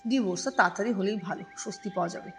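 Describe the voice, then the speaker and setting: A woman's voice: mid-range voice, rapid-fire, soft background music, voice pushed down, natural voice. A woman voicing a simple, easygoing male blue shirt in a story, chatty and reassuring in a plain-spoken way. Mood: gossipy.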